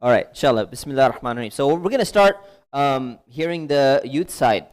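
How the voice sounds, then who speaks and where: A man's voice: medium pitch, expressive, a little fast. Man moderating a panel, Arabic blessings. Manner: conversational, sprinkles Arabic blessings, probing questions.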